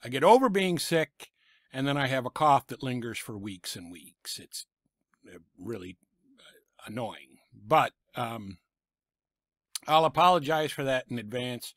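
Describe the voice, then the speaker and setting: A middle-aged man with a raspy voice from a lingering cough, giving blunt, sarcastic football picks from a small home room on a desk microphone. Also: medium pitch, big pitch swings, unhurried, long pauses, fairly bright tone, no room sound, studio quality. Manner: blunt, sarcastic about teams and coaches. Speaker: sports picks vlogger. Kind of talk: casual football picks commentary. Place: small home room full of sports memorabilia. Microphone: desk microphone in front of him.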